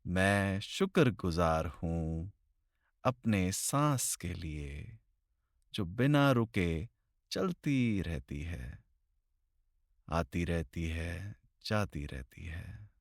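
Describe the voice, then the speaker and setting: A man leading a guided meditation in slow, deliberate phrases with long pauses, recorded close to the mic. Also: sits low, animated, no room sound.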